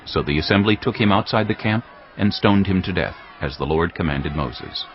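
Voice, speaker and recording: A man's voice: low voice, normal speed. A man narrating a dramatised scripture audiobook in a scripted storytelling style. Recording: slightly dull audio, faint background noise.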